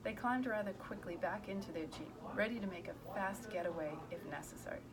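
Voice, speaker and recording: A woman's voice: medium pitch, fairly quick, background noise. A woman reading a children's book aloud as the narrator. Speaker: volunteer story reader.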